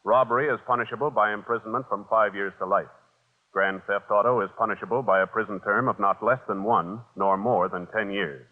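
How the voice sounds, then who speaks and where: A man with an American accent announcing formally in 1950s radio style, measured and official. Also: on the low side, fairly even intonation. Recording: muffled vintage radio, long reverb.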